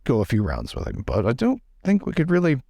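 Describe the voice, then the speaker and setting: Polite, placating gentleman lord, tabletop game role, played by a man, chattering, lively swings in pitch, slightly gravelly. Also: a little fast, no echo, studio quality, exaggerated voice. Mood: flustered. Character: dapper, polite, flustered gentleman lord who likes a scrap.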